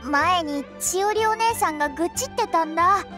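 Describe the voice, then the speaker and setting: A woman's voice: very high-pitched, normal speed, music in the background. An actress voicing a sweet, earnest, worrying young cat-girl courier in an anime-style game, with a cute girlish delivery. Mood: earnest, puzzled.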